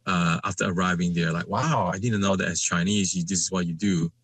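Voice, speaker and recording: A man from Malaysia, a guest in a conversational interview, speaking haltingly with many fillers and restarts. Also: deep voice, fairly even intonation, fairly bright tone, slightly rough.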